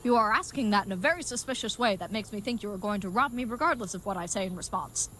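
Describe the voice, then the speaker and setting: Over clearly noisy ambience comes an earnest, simple-minded knight of an audio comedy , played by a woman. The voice sits at a middling pitch and delivers grand, florid, old-fashioned proclamations with kind-hearted chivalry. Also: lively intonation, fast, pushed and bright. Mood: defiant, polite. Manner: grandiose, florid, mock-archaic phrasing. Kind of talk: comedic audio drama acting.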